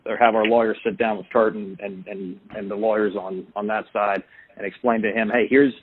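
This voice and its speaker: A man giving polite but firm, halting rebuttals on a conference call. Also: fairly low pitch, slightly rough. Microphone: telephone. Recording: dry, muffled, narrow phone line.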